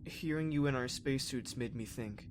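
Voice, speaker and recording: A man's voice: mid-range voice, moderate pace, background noise, close to an everyday voice. A man playing an earnest, curious young space trainee in a sci-fi fan parody, talking in a casual, hesitant way.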